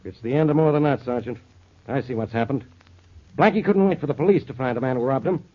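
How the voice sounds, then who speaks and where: An actor playing a troubled, authoritative police inspector in a 1940s radio drama. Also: male voice, mid-range voice, quick pace, natural voice. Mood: grave, troubled. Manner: terse, authoritative, weighty. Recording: dull, noisy 1940s radio recording.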